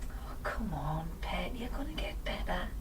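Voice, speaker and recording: A woman's voice: lowish voice, big pitch swings, unhurried, whispered. A woman playing a tired, brusque but reassuring working-class Geordie mother in a naturalistic radio drama. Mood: hushed, reassuring.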